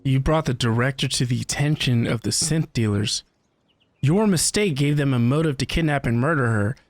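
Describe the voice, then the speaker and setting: A woman's voice: rumbling low, expressive, moderate pace, darkish tone, no room sound. A woman giving casual reaction commentary as a game streamer.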